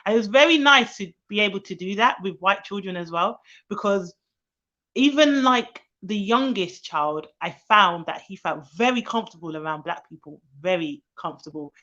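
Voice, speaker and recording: A woman, a nanny chatting casually on a live stream to her viewers. She rambles with many fillers and restarts, and her voice jumps high when she quotes children. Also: expressive, moderate pace, sharp tone. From England, grew up in London. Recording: slightly dull lo-fi live-stream audio.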